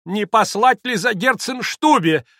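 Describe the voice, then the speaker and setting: A man's voice: sits high, animated, brisk, fairly bright tone, dry recording, clean studio sound, pitched above the performer's own voice. A man reading aloud, voicing a theatrical, flustered middle-aged society mother who gushes and exclaims. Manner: gushing, exclamatory, melodramatic.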